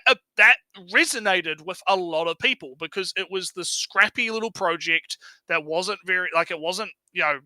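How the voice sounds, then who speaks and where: Bright, higher-voiced man giving sweary commentary. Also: no room sound, poor audio. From outside the United States. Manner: sweary, rambling, often tags sentences with "right?". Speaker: stream host giving commentary. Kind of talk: casual reaction commentary, unscripted.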